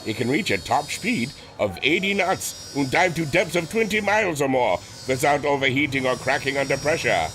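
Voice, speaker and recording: An actor playing an earnest, proud inventor with a formal, theatrical manner in a pulp audio drama. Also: male voice, medium pitch, lively intonation, normal speed, hardly any pauses, a bit bright, slight background noise, natural voice. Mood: proud, excited.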